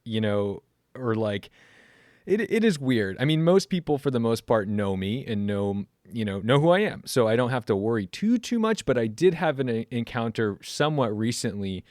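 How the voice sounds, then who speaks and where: A man hosting a podcast interview, talking earnestly in a casual, animated way and acting out other people's remarks. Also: mid-range voice, normal speed, dry recording, studio quality. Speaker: podcast host.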